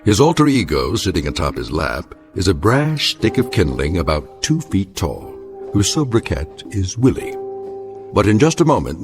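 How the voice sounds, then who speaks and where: An actor playing a grave, wry American narrator, giving measured, ornate introductions to eerie radio tales. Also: male voice, sits low. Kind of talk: formal scripted suspense narration.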